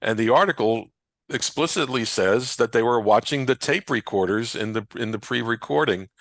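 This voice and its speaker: A man speaking as a researcher and guest in a conversational panel discussion, explaining things in a halting way. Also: medium pitch, fairly bright tone, no room sound. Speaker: radio historian and researcher, interview guest.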